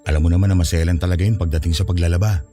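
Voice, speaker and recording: Man playing a quick, mellow-voiced placating husband. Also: low voice, steady tone, soft background music, lightly acted. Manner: conciliatory, reassuring. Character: easygoing, placating young husband and dutiful son. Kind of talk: Filipino radio drama acting.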